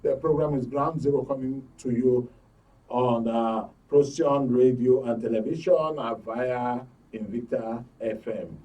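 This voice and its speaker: A man's voice: mid-range voice, unhurried, mellow. A man with a Nigerian accent hosting a live radio phone-in, instructing callers in an orderly way. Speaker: radio host. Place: radio studio. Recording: dull, distant studio sound.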